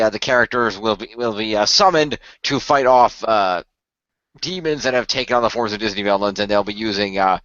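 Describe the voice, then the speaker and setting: A man in a casual group chat, explaining plans haltingly with many pauses. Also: mid-range voice, fairly bright tone, no room sound. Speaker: cast member. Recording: dull lo-fi audio.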